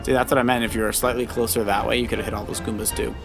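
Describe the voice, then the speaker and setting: A man's voice: mid-range voice, flat delivery, rapid-fire, gravelly. A man giving casual, encouraging gameplay commentary, explaining moves to the player as he goes. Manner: encouraging, instructive. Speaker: co-host of a family Let's Play, the dad. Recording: game music behind the voice. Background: video game music.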